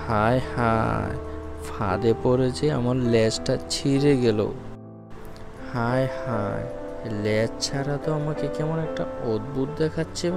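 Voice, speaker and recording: A man's voice: medium pitch, takes it slowly, creaky. A man voicing a boastful, sly fox who steals chickens in a cartoon fable, self-satisfied in manner. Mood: wailing, self-pitying. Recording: music under the voice.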